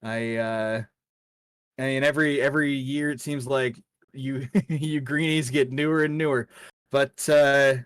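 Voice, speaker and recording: A man voicing a seasoned, folksy mentor in a tabletop game, talking in an encouraging, colloquial, halting way. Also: mid-range voice, fairly even intonation, clean tone, no room sound, pitched above the performer's own voice, lightly acted. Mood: proud, encouraging. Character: seasoned folksy male mentor sending young adventurers out.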